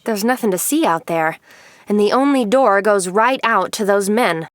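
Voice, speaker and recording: A woman playing a composed, determined wife on the run in a noir audio drama, speaking in a measured, guarded way. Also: medium pitch, dry recording, lightly acted. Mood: uneasy.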